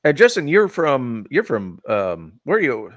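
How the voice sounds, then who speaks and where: A man's voice: mid-range voice, huge pitch swings, unhurried, dry recording, studio quality. A man from Texas hosting a casual chat podcast, rambling with lots of fillers and restarts. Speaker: podcast host. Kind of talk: casual chat about wrestling and gaming.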